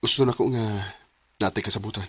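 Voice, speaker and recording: A man's voice: fairly low pitch, steady tone, fast talker, slightly rough, dry recording. A man playing an evasive young love interest with a Cebuano Filipino accent in a radio romance drama. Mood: guarded.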